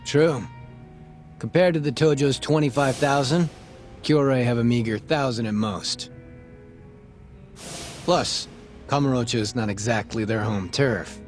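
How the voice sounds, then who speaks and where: A man's voice: medium pitch, measured pace, long pauses, faint music behind, close to an everyday voice. Glib, shameless crooked cop played by a man. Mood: knowing. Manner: casual, glib. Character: sly, shameless crooked cop selling information. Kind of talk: video game cutscene acting.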